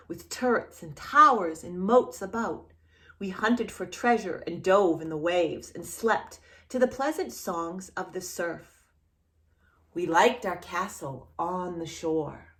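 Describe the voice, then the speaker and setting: A woman reading a picture story aloud to young children as a storyteller, playful and expressive, speaking directly to the child with questions and exaggerated reactions. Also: on the low side. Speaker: children's storyteller and channel host.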